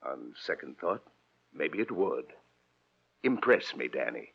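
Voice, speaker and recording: An actor playing an arrogant, murderous lawyer in an old radio crime drama, pompous and mocking. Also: male voice, a bass voice, big pitch swings, a little slow, vocal fry, breathy edge, heavily acted. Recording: muffled vintage radio recording.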